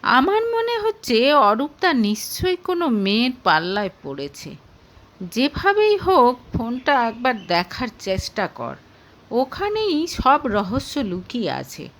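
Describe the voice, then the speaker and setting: A woman's voice: fairly high pitch, huge pitch swings, unhurried, fairly bright tone, heavily acted. A woman voicing a proud, chatty young married woman confiding in her friend in an audio story. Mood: urging.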